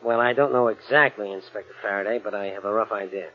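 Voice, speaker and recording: A man's voice: fairly low pitch, steady tone, fast, close to an everyday voice. An actor playing a cocky, sly, double-crossing American gangster in a 1940s radio crime drama, with slangy tough-guy talk. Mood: falsely friendly. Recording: muffled old radio recording.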